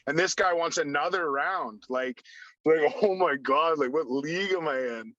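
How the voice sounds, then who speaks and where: A man with a bright, pushing voice pitched a touch high, slightly raspy, telling stories with lots of energy. He acts out what people said and scatters "like" all through his talk. The sound is dull and lo-fi, with no room in it. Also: normal speed, dry recording. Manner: acts out dialogue, heavy use of "like". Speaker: podcast guest, former hockey enforcer. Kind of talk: animated storytelling.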